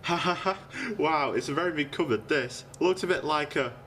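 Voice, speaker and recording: A man's voice: on the high side, normal speed, a bit bright, a little hiss or hum, close to an everyday voice. A man playing a curious, polite young boy in a fairy-tale radio drama.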